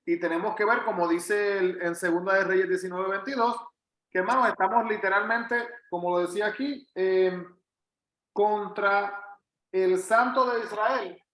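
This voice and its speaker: A man's voice: sits high, fairly even intonation, measured pace, a bit bright, roomy sound. A man with a Puerto Rican Spanish accent giving a conversational Bible teaching as a pastor, earnest and emphatic, repeating phrases for weight. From Puerto Rico. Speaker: pastor leading a Bible study.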